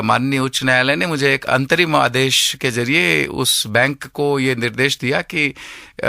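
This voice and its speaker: A man with an Indian accent recalling an experience in an interview, with halting delivery and formal wording. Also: medium pitch, creaky, pushed and bright, no room sound, clean studio sound. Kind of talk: interview recollection.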